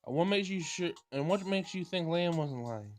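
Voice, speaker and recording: A man's voice: a little high, animated, normal speed. A man doing a let's play of a visual novel, reading dialogue aloud with casual commentary and stumbling over words as he goes. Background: game music.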